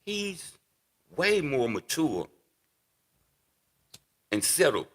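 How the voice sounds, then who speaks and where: A man's voice: a little high, big pitch swings, extremely slow, a bit bright. A man in his sixties, a guest in a casual interview, talking unhurriedly and repeating words as he thinks. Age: 60s.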